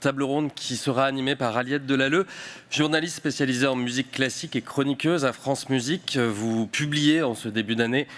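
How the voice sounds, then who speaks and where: Guy giving a formal welcome at a conference, polite, middle pitch. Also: steady tone, a little fast, fairly bright tone. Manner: polite, formal, addresses the audience. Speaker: event host.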